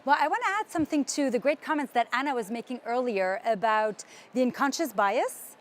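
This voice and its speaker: A middle-aged woman from Lebanon speaks on a conference panel, her voice pitched high. She tells her story with confidence and firm emphasis. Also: a little fast. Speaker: panel guest, founder of a nonprofit.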